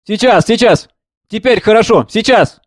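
A man's voice: high-pitched, expressive, moderate pace, no room sound, voice pushed up. A man playing an impatient, stubborn driver in a stage drama, barking orders. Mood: hurried. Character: gruff, impatient male driver, stubborn and bossy.

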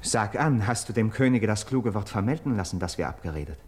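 An actor playing an urgent, persuasive young nobleman of Greek myth in a classical verse radio drama, with declamatory, measured stage diction. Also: lowish voice, expressive, husky. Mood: urgent, probing.

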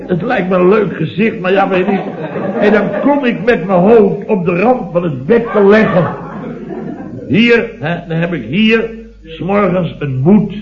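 A man's voice: sits high, full character voice. An actor playing a flustered, long-winded married man rambling emphatically in a 1970s radio comedy sketch. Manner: rambling, emphatic, peppered with tag questions. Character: married man, flustered long-winded comic storyteller complaining about wife. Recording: dull old radio recording, roomy, audience laughter.

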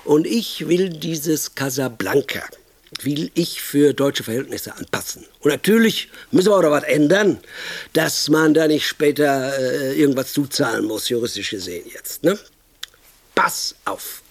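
An actor playing a pompous, crude and impatient film producer in a radio comedy, pitching movie ideas in a bossy, rambling, self-enthused way. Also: male voice, breathy edge, no echo, full character voice. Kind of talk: radio comedy monologue, improvised-sounding pitch.

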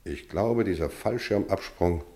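An actor playing a stern but kindly boarding-school teacher in a radio drama, emphatic and thoughtful. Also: male voice, low voice, unhurried, mellow. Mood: firm, thoughtful.

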